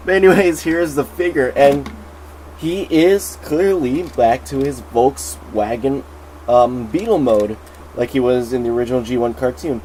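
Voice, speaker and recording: A man with a cold and a nasal voice, chatting excitedly through a casual toy review at home. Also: mid-range voice, slight background noise, low-quality recording. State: sick, nasal.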